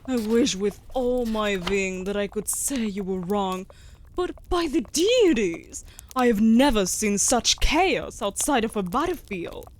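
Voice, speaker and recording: A woman playing a sharp-tongued, bossy wolf familiar in a fantasy audio roleplay, speaking in a haughty, sardonic, formal way. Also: mid-range voice, animated, a little slow, slight background noise, natural voice. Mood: alarmed, exasperated.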